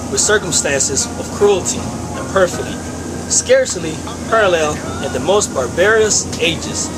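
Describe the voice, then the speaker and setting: A man formally reading a historical document aloud. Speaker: volunteer reader. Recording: loud rumbling ambient noise. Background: loud ambient noise.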